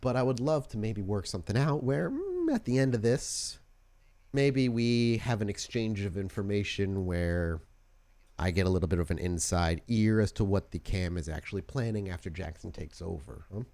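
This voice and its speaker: Man voicing a blunt, low-voiced female vampire boss. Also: expressive, moderate pace, darkish tone. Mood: blunt, pragmatic. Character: shrewd, suspicious, blunt female Anarch vampire leader.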